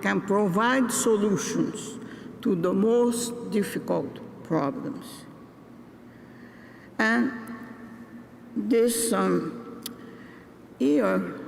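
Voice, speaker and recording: An older woman from Brazil giving a graduation address, speaking in a deliberate, halting way with pauses and restarts. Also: mid-range voice, creaky, a little mellow. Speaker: commencement speaker. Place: large ceremony venue. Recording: reverberant hall with faint noise.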